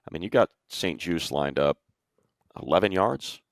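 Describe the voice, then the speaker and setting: Male sports panelist, very deep register, dry close sound, informal emphatic analysis. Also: expressive, moderate pace, no echo. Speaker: sports show panelist and play-by-play announcer.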